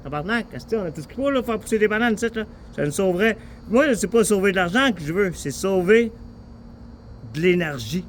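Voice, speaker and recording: A man with a Quebec French accent chatting casually in a rambling, halting way, repeating himself and swearing informally. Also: high-pitched, expressive, slight background noise. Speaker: vlogger talking with an AI assistant.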